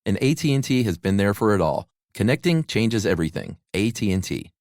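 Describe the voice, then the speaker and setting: A man's voice: lowish voice, big pitch swings, brisk, darkish tone, no room sound, clean studio sound. A middle-aged man hosting a podcast, reading an advert in a casual, conversational way. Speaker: podcast host.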